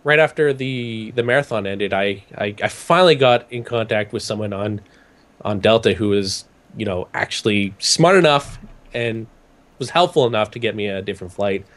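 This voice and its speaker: A young man from Canada, hoarse from a cold, telling a rambling story in casual chat with many restarts. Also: on the low side, normal speed, a bit bright. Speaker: podcast guest recounting a trip.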